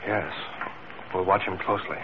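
An actor playing a bold, watchful masked crime fighter in a 1940s radio adventure. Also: male voice, sits low, normal speed, lightly acted. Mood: watchful, calm. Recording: muffled, noisy old radio recording.

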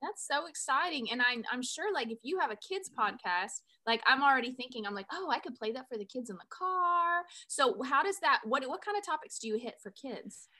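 A woman's voice: high voice, lively intonation, fairly quick, hardly any pauses, sharp tone. Earnest woman hosting a faith podcast. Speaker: podcast host. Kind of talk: faith podcast intro and interview.

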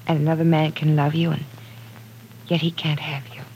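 An actress playing an earnest, gentle young woman caught up in love troubles in an old radio drama, soft and breathy at times. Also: low-pitched, flat delivery, moderate pace, slight background noise, poor audio. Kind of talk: 1940s radio acting.

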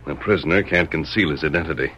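An actor playing a calm, noble masked cowboy hero with measured, formal speech in a radio Western. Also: male voice, rumbling low, lo-fi sound, a little hiss or hum. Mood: calm, explaining. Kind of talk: old-time radio Western acting.

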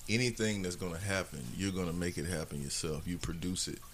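A man in his forties, a guest entrepreneur, talking in a measured, advice-giving way in casual chat. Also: low-pitched, a bit bright, a little hiss or hum. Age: 40s.

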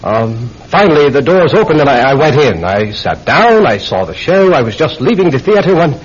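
An actor playing a distraught military airman in a radio drama, halting and stammering as he speaks. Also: mid-range voice, big pitch swings, breathy edge. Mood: weary, shaken. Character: anguished military airman confessing to a killing. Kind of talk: old-time radio drama acting. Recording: dull old radio recording.

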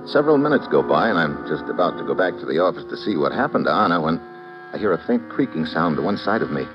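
A wry, deep-voiced radio detective. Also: male voice, quick pace. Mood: tense narration. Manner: clipped, wry and steady. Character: hard-boiled male detective narrating his own case. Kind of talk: 1940s radio drama acting. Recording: dull old radio broadcast with music score.

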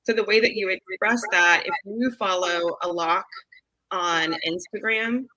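A woman guest in a casual discussion, explaining her views in a thoughtful, measured way. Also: mid-range voice, bright, forceful tone, poor audio.

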